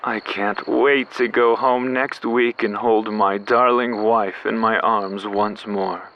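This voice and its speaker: A tender, homesick soldier in a radio play. He speaks slowly in a lowish voice, the sound slightly muffled with insects behind. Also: fairly even intonation, a little hiss or hum. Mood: wistful. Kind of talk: radio play within a drama.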